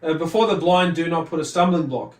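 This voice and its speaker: A man giving an informal religious lesson, reading texts and commenting in a halting way, repeating and correcting himself. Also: on the high side. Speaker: religious teacher presenting a study video. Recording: roomy echo, distant mic, faint noise.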